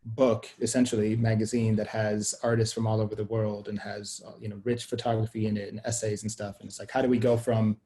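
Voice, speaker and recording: A man's voice: on the low side, level tone, a little fast, hardly any pauses, dark tone. A young adult man from Sweden, a guest talking on a video call in a thoughtful, expansive way with frequent restarts. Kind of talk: conversational interview on a video call.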